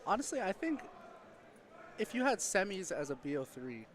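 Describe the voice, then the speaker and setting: A man's voice: sits high, big pitch swings, moderate pace. A man chatting casually in short replies as an interviewed player. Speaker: esports player being interviewed.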